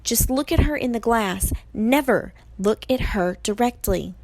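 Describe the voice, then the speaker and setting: A woman voicing a clever, bossy young heroine in a storybook, brisk and insistent. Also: a little high, lively intonation, a little mellow, dry recording, pitched above the performer's own voice. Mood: brisk, instructing.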